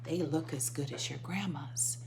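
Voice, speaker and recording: A woman's voice: low voice, a little slow, vocal fry. Woman reading as polite storybook child, noisy recording. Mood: appreciative.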